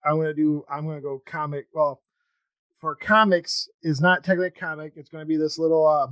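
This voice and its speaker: A man hosts a relaxed podcast interview. His voice is a little high and recorded very dry. He speaks haltingly and informally, rambling his way from one point to the next. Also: no room sound, highs cut off. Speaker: podcast host. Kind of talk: casual interview chat.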